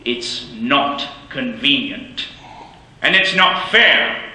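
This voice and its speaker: An actor playing a miserly, grumbling old moneylender in an old-time radio play, with a gruff, scolding way of talking. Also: male voice, mid-range voice, lively intonation, very slow, pushed and bright, full character voice. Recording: echoey hall with faint hiss.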